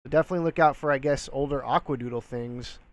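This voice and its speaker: A man in his thirties talks loosely and chattily, full of ums and restarts, his voice a little nasal from a cold. The sound is close and dry, picked up by a headset boom mic at home, with a middling pitch. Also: quick pace, no room sound. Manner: rambling, filler-heavy, friendly. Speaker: reseller vlogger. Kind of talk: casual talking-head vlog. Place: small room at home, indoors. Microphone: gaming headset with boom mic.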